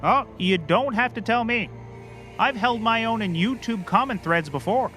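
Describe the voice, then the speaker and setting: A man's voice: high voice, expressive, normal speed, music in the background. A man playing a cocky, clueless small-time private eye in a scripted comedy, glib and rambling. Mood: glib, excitable. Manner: glib, rambling, self-assured.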